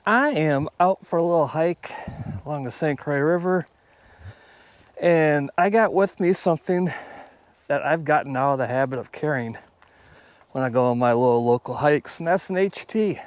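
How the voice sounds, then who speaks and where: A man's voice: on the high side, normal speed, smooth, dry recording. A man talking casually to viewers outdoors on a hike, in a plainspoken, conversational way. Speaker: YouTube host. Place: outdoors on a hike along a river.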